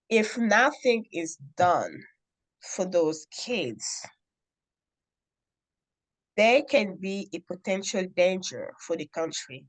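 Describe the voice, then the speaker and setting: A middle-aged woman from the Democratic Republic of Congo, telling a story as a guest in an interview in an earnest, deliberate way with many pauses. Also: mid-range voice, poor audio. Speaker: guest, nonprofit founder.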